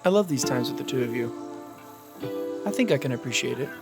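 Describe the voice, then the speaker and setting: A man's voice: mid-range voice, lively intonation, a little fast, music in the background, natural voice. A man playing an affectionate, curious teenage youngest brother in an audio drama.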